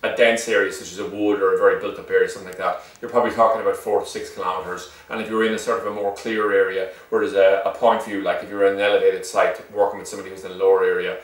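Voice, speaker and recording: Echoey, fast-talking low-voiced Irish man. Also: fairly bright tone. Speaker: shop seller presenting product reviews. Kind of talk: informal unscripted product demonstration. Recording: echoey room, distant microphone.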